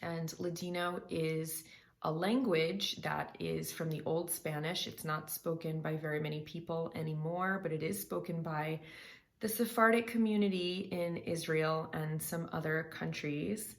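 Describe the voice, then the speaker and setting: A woman's voice: sits low, fairly even intonation, unhurried. A woman vocal coach talking casually to her viewers, chatty, explaining song lyrics line by line. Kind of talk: casual reaction vlog talk.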